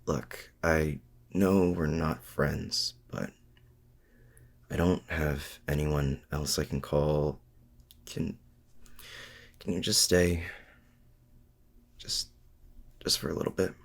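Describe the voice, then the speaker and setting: Lonely mad-scientist villain, played by a man, for ASMR audio drama: rock-bottom register, gravelly, halting and earnest. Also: very deep voice, steady tone, heavily acted. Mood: vulnerable, pleading. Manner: hesitant, halting, softly sincere. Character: lonely, awkward supervillain mad scientist, male, confiding in his rival. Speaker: audio roleplay performer. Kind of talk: intimate ASMR audio roleplay acting.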